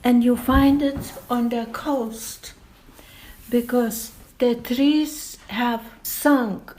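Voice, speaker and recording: An older woman from Lithuania, a native Lithuanian speaker, explaining patiently in a slow, deliberate way during an oral history interview. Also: on the high side, steady tone, darkish tone, slight background noise, low-quality recording.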